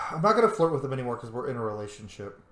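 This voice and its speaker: A person doing casual, gushing commentary while roleplaying through a video game, chatty and quick to laugh. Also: medium pitch, very animated, a bit bright, reverberant.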